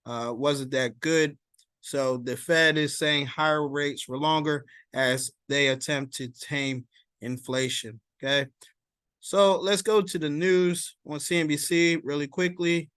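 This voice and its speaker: Guy doing casual stock market rundown, smooth clear voice, close dry sound, kinda halting. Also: on the high side, fairly even intonation, fairly bright tone, no echo. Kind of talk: informal screen-share market commentary.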